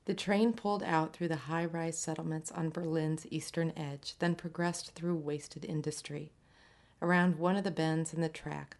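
A woman reading a literary short story aloud in a measured, scripted narration. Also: deep voice, a little mellow. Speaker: story reader.

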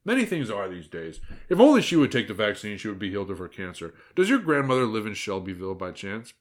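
A man's voice: fairly low pitch, sing-song, quick pace. Man playing a polite, pleading big bad wolf. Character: big bad wolf, meek, ingratiating, smooth-talking. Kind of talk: satirical fairy-tale storytelling.

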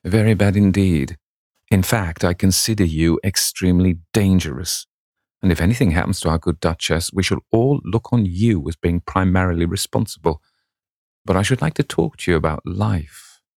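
A man narrating plays an elderly, bookish gentleman from a classic novel. His voice sits low, with courtly, formal phrasing and dry wit. The sound is close, with little room around it. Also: moderate pace, dry recording. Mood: courteous, wry. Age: older. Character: courteous old English gentleman, bookish and drily witty.

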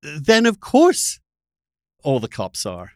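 A man's voice: on the high side, wildly swooping pitch, slow pace, no echo, clean studio sound. A middle-aged man hosting a conversational political debate, arguing emphatically in formal phrasing. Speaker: podcast host.

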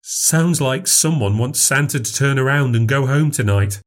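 A man voicing a sullen, spiteful boy in an audiobook, with a gravelly, grumbly, contemptuous snarl. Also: mid-range voice, moderate pace, voice pushed up, natural voice. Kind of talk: audiobook character voice.

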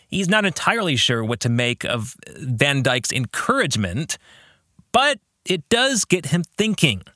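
A man hosting a podcast, giving reflective book club commentary in a deliberate way and putting rhetorical questions to the listener. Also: mid-range voice, bright, forceful tone, no echo, studio quality. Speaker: podcast host and author.

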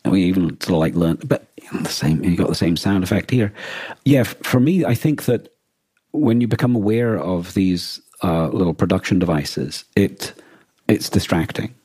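A man co-hosting a podcast in casual discussion, with a husky voice and a hesitant way of restarting his phrases mid-thought. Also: low-pitched, lively intonation, moderate pace, mellow, no echo. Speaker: podcast co-host.

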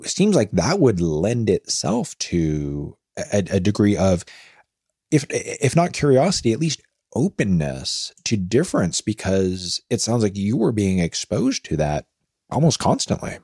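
Very deep husky guy hosting a podcast, thoughtful careful questions. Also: wildly swooping pitch, darkish tone, no echo, clean studio sound. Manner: thoughtful, measured, reflective questions. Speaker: podcast host. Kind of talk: interview questions.

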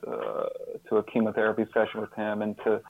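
A fifty-year-old man speaking as an interview guest, reflective and earnest, often halting and repeating words as he thinks. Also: on the low side, completely flat delivery, no echo, phone-quality sound.

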